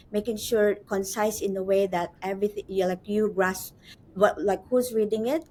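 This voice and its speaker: A woman's voice: mid-range voice, darkish tone, slight background noise, low-quality recording. A woman hosting a webinar for nurses in a chatty, encouraging way, with lots of asides and fillers. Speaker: host.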